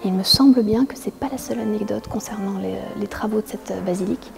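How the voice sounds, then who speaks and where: A woman's voice: mid-range voice, fast. A woman informally explaining some local history. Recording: clear music behind the voice.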